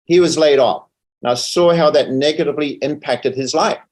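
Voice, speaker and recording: A man's voice: on the high side, normal speed, darkish tone. A middle-aged man with a New Zealand accent talks conversationally as an interview guest, often repeating words as he finds his phrasing. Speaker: interview guest, financial advisor.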